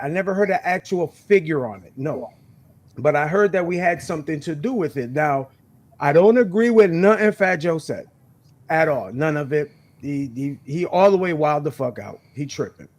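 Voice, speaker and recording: A man in his forties, a native Spanish speaker, talking casually and emphatically as a guest on a live show. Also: high-pitched. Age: 40s. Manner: emphatic, checks in with "right?". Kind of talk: casual talk, storytelling.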